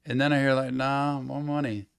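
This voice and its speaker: A man hosting a music reaction, slightly hoarse from losing his voice, eagerly repeating lines back and affirming them. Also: mid-range voice, level tone, normal speed, no room sound, studio quality. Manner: enthusiastic, repeats lyrics back and affirms with yeps. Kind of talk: casual music reaction commentary.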